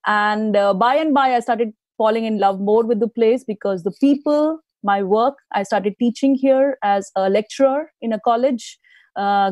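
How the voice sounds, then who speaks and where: A woman's voice: fairly high pitch, dry recording. A woman from India, a guest speaker telling stories about her life in a live interview, laughing often. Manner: warm, storytelling, laughs often.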